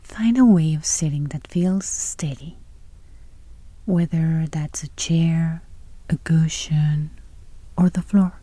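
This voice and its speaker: A woman guiding a meditation in a soft, breathy near-whisper, speaking gently and soothingly. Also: deep voice, slow, long pauses, no room sound. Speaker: meditation guide. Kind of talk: guided meditation.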